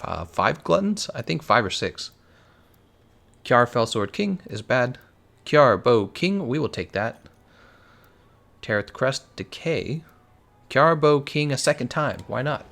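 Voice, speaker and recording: A man's voice: on the low side, gravelly, fairly bright tone. A young man with an American accent, chatting casually on a game stream, answering viewers and laughing often. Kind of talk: casual live-stream commentary.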